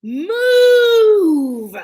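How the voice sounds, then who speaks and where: A woman's voice: extremely high pitch, sing-song, drawn-out words, a little mellow, voice pushed up, exaggerated voice. A woman voicing a determined, curious young girl in a storybook, emphatic and insistent. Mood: demanding, shouting. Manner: emphatic, insistent questioning and commanding.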